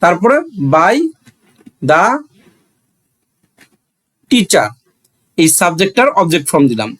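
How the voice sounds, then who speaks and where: A man's voice: high-pitched, big pitch swings, takes it slowly, a bit bright. A man with a Bangladeshi accent teaching a grammar lesson, asking questions and answering them himself, repeating phrases for emphasis.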